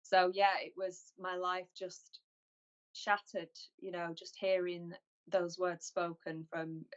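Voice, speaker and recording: A woman from North West England, a guest on a video call interview, speaking in an earnest, measured way. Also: lowish voice, flat delivery. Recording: lo-fi call audio.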